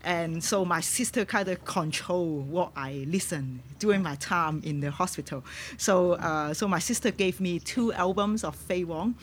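Low-voiced woman with Hong Kong accent. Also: a little hiss or hum. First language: Cantonese. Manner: halting, searches for words. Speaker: guest musician. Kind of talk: podcast conversation. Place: on a boat in a harbour.

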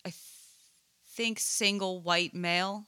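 A woman's voice: fairly low pitch, fairly even intonation, slow, sharp tone, clean tone. A woman in casual group conversation, with a wry, dry-humoured way of talking. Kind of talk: casual chat.

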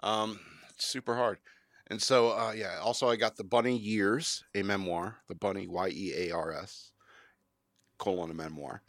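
A man's voice: fairly low pitch, steady tone, moderate pace, a bit bright, dry recording, clean studio sound. A man hosting a podcast, rambling and joking in casual banter. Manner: rambling, jokey, self-promoting. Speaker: podcast host.

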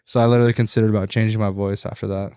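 A man's voice: fairly low pitch, level tone, very fast, dark tone, smooth, no echo, studio quality. A man co-hosting a casual hunting podcast who jokes around, swears freely, and laughs between his lines. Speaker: podcast co-host. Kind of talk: casual chat.

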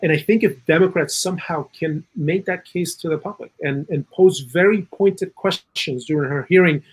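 A man from South America giving earnest, opinionated political commentary in a conversational way, with a husky voice and plenty of "you know". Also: a little high, normal speed, slight background noise, rough recording. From South America, Chile. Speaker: interview guest, political and legal commentator.

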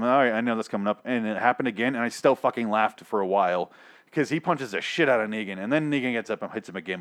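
A young man reviewing a TV episode in an exasperated, profane rant, full of restarts and rambling asides, his voice getting worn. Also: lowish voice. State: losing his voice. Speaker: TV show reviewer.

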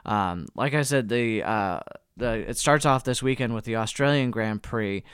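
A man's voice: mid-range voice, normal speed, fairly bright tone, clean tone, no echo, studio quality. An American man with a cold and a slightly wheezy edge, hosting a podcast news rundown with wry, casual asides. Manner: wry, tongue-in-cheek. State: fighting a cold. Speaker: podcast host.

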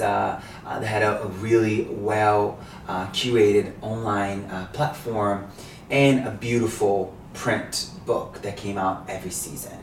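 A man tells his story as a podcast guest. He speaks in a relaxed way and hesitates often. He sounds roomy and set back from the mic, over faint noise. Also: fairly low pitch, recorded from a distance. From Brazil. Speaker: podcast guest, meditation teacher. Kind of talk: casual interview.

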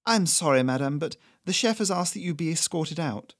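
A flustered young male server from a short story, apologetic and babbling, in a raised, strained pitch. A man puts the voice on. The sound is dry. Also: animated, normal speed, dry recording.

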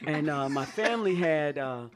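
A man playing an eager, overexcited actor in an improv comedy scene, gushing and stammering in quick bursts. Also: fairly high pitch, lively intonation, natural voice. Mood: earnest.

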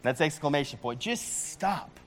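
A man's voice: fairly high pitch, expressive, unhurried. A man in his forties preaching a sermon in a casual, conversational way, often restarting his sentences. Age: 40s. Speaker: pastor.

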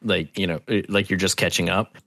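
Male podcast guest, lowish voice, dry close sound, casual, effusive, sweary. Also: fairly even intonation, gravelly, fairly bright tone, no room sound. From US East Coast. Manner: informal, halting restarts, freely swearing.

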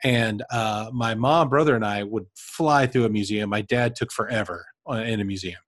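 A man in casual conversation, reflective, drawing on personal examples. His voice sits at an ordinary pitch and is recorded dry and close. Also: normal speed, no echo. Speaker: podcast co-host. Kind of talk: casual discussion.

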